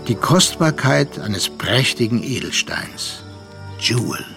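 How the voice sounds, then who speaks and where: A man's voice: medium pitch, sing-song, creaky, heavily acted. Reflective male storyteller over music. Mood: reflective, melancholy. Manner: measured, contemplative. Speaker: narrator. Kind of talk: literary radio play narration.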